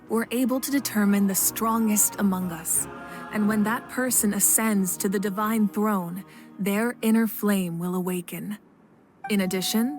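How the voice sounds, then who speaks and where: An actress playing a composed, noble young warrior queen and kindly deity in a video game, speaking in a measured, gentle, dignified way. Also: medium pitch, lightly acted. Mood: solemn, explanatory. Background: faint background music.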